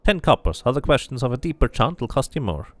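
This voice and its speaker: Man putting on a high-pitched, coy harlot. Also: very animated, quick pace, no room sound, lightly acted. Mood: businesslike, teasing. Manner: coy, teasing. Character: tired, coy street harlot woman, mercenary.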